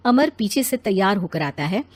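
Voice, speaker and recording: A woman's voice: medium pitch, sing-song, moderate pace. A woman narrating a scripted children's moral story.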